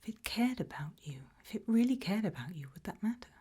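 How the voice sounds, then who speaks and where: A British woman playing a calm, probing investigator in an ASMR roleplay, asking gentle, measured questions in a breathy whisper. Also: mid-range voice. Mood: intimate, searching. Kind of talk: ASMR roleplay, whispered and soft-spoken interview.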